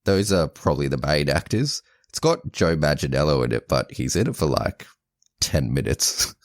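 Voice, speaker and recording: A man with an extremely low, deep voice chats casually on a podcast, stumbling through a plot summary with constant restarts and self-corrections. The sound is dry and close, with no room around it. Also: expressive, normal speed, dry recording. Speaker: podcast co-host. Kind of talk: casual chat, retelling a movie plot.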